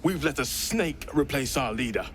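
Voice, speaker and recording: An actor playing an earnest, concerned male druid in a video game, speaking in a measured way. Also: mid-range voice, animated, slight background noise, close to an everyday voice. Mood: grave concern. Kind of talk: video game cutscene acting.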